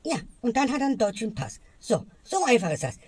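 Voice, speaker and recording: A woman's voice: fairly high pitch, sing-song, quick pace, a little mellow, breathy edge, dry recording. A woman ranting in a comedy sketch and ending her lines with a questioning tag. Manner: grumbling, tags lines with "ne?". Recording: music bed behind voice. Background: music.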